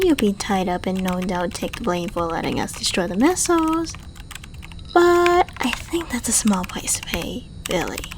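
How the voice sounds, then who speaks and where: A woman's voice: on the high side, noisy background. A woman playing a suave, flirtatious secret agent in an ASMR roleplay, speaking to the listener in a playful, polite and coolly menacing way. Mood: calm, teasing menace. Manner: playful, polite, coaxing, unhurried.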